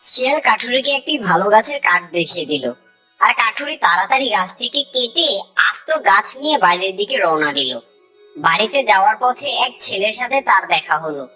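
A woman's voice: medium pitch, expressive, brisk, bright, forceful tone, distant mic, soft background music, low-quality recording. A woman narrating a scripted cartoon moral story as the storyteller.

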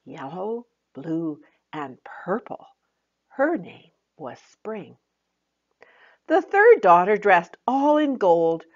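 A woman in her sixties reads a children's story at a slow, measured pace. Her storytelling is expressive, with lively rises and falls. The recording is a little dull. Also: fairly low pitch. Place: small plain room indoors.

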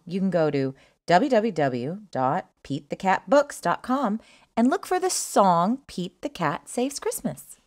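A woman reading a children's book aloud as an expressive storyteller, with a sing-song, emphatic delivery of the rhymes. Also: fairly low pitch.

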